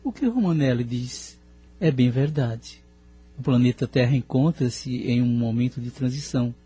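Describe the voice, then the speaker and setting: A man's voice: mid-range voice, normal speed, mellow, breathy edge, faint music behind, natural voice. A man with a Brazilian accent playing an earnest, compassionate scholarly spirit in an audio drama, formal and reflective.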